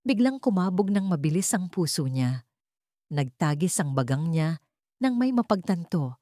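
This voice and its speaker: A woman's voice: sits low, dark tone, no room sound, studio quality. A woman reading scripted dramatic fiction as a storyteller. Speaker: story narrator.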